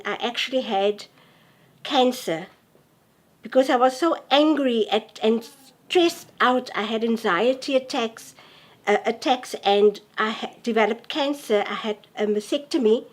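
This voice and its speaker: Soft-spoken woman in her forties. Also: fairly high pitch. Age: 40s. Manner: halting, earnest, soft-spoken. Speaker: interviewee. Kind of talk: personal testimony to camera.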